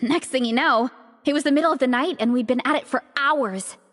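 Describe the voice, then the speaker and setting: A woman voicing a cheerful, encouraging young comic fan in a video game, animated and upbeat. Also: high voice, brisk, no room sound, in a large hall. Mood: excited reminiscing.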